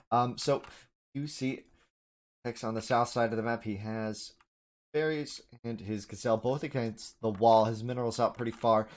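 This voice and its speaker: A man streaming live match commentary, chatting informally with his viewers as he goes. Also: medium pitch. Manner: chatty, informal, addresses chat as dude and buddy.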